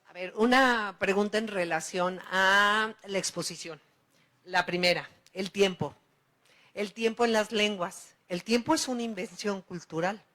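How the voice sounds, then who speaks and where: Woman, audience member, thoughtful, builds her point slowly, mid pitch. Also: sharp tone. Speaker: audience member asking a question.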